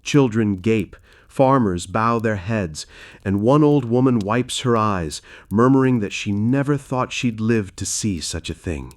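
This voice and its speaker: Slow-paced man narrating a bedtime story. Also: fairly low pitch, animated. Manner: soothing, measured, unhurried delivery. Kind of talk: scripted bedtime storytelling with folklore asides.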